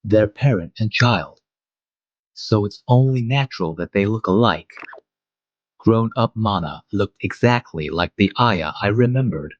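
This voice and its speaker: A man playing a mild, wistful adult guardian, narrating a manga dub in a measured, reflective way. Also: on the low side, expressive, no echo, dull audio. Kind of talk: scripted first-person manga dub narration.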